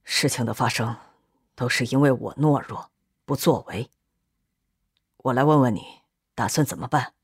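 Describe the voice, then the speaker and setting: Woman voicing a low, subdued guilt-ridden young man. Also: brisk, husky. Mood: guilty, heavy. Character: guilt-ridden, numb young Englishman confessing and urging his friend.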